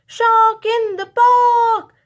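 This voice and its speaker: A woman voicing an excited little boy who shouts warnings in a loud, drawn-out way. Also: very high-pitched, heavily acted. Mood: alarmed.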